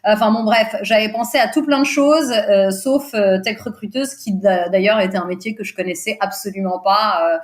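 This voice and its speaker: A woman in her thirties, a native Portuguese speaker, talking as a podcast guest in a relaxed, hesitant way, with many fillers and easy laughter. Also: mid-range voice, normal speed, hardly any pauses. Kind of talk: casual interview chat.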